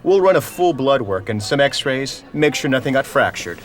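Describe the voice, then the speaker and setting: An actor playing a calm, questioning, authoritative hospital doctor in a radio drama. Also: male voice, medium pitch, normal speed, faint music behind, close to an everyday voice. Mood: probing.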